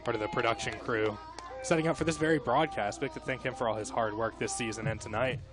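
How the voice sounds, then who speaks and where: A man giving casual live color commentary on a baseball broadcast, sometimes halting and repeating words. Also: medium pitch, music in the background.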